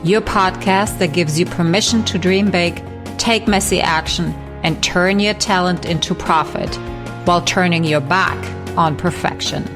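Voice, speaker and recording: A woman, a podcast host and coach, reading a scripted introduction in a smooth, read-out manner. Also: lowish voice, fairly even intonation, a little slow. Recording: voice over music.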